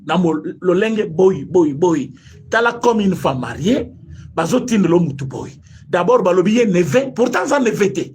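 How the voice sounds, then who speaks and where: A man's voice: high-pitched, breathy edge. A man from Congo with a Congolese French accent, giving impassioned political commentary full of rhetorical questions and switching between French and Lingala. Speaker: online commentator.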